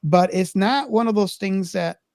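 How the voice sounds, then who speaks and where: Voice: mid-range voice, measured pace, a little mellow. A middle-aged podcast co-host of unclear sex, chatting casually about films and giving opinions in a conversational way. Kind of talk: casual film discussion.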